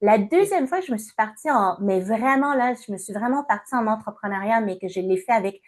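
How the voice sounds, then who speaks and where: A woman's voice: fairly high pitch, expressive. Hesitant Québécois woman in her fifties. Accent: Québécois French. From Montreal, Canada. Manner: measured, many hesitations. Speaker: guest, entrepreneur and coach. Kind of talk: interview answers.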